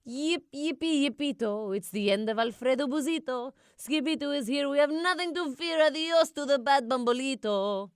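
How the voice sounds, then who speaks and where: A woman voicing a band of excitable little Chihuahuas in a singsong manner. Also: high-pitched, normal speed, hardly any pauses, dry recording, studio quality, pitched above the performer's own voice, natural voice. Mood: jubilant.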